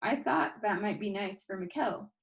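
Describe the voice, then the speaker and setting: A woman's voice: mid-range voice, animated, moderate pace, gravelly, muffled recording. Woman reading a children's novel aloud. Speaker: storybook reader. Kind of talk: audiobook-style narration of a children's novel.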